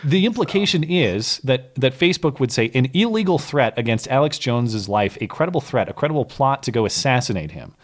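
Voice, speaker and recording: A man's voice: mid-range voice, sing-song, fairly quick, hardly any pauses. A man hosting a live call-in show, talking with callers in a casual, wry and sarcastic way.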